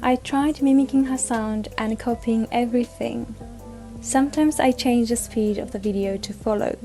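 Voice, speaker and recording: A woman in her twenties from Japan, a native Japanese speaker with a Japanese accent, presenting a scripted explainer as a language vlogger in simple, deliberate, slightly non-native phrasing. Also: fairly high pitch, steady tone, warm tone, soft background music. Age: 20s.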